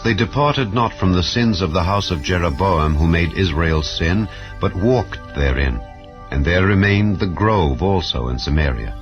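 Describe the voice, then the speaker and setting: A man giving a measured, solemn scripture reading over soft background music. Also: low voice, dull audio. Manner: measured, solemn reading with rising and falling phrases. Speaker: Bible reader.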